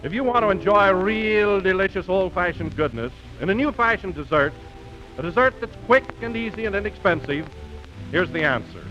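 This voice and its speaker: High-voiced 1930s American radio pitchman. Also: bright, forceful tone. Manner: polished salesmanlike delivery. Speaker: radio commercial announcer. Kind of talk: 1930s radio advertising read. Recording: dull vintage 1930s radio broadcast.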